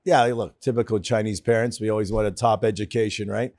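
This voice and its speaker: A Canadian man in his fifties, a company founder telling his story as a guest in a casual interview, animated and quick to laugh. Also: fairly low pitch, dry recording, clean studio sound.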